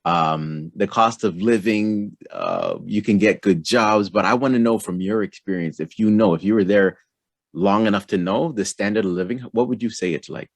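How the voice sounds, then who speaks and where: A man hosting an interview show, asking relaxed, friendly questions with plenty of fillers. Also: on the low side, normal speed, no echo. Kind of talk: casual interview.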